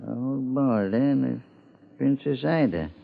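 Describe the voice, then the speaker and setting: An actor playing a grumbling, folksy old small-town clerk in a 1950s radio drama. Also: male voice, mid-range voice, unhurried, gravelly. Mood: folksy, deliberate. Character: crotchety, penniless old small-town former record keeper, male. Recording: muffled 1950s radio broadcast.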